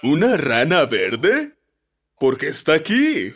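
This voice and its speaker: Slow, firm old cartoon king. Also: on the high side, wildly swooping pitch, fairly bright tone, husky, heavily acted. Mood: puzzled. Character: old king, fond father, firm and kindly. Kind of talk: children's storybook cartoon.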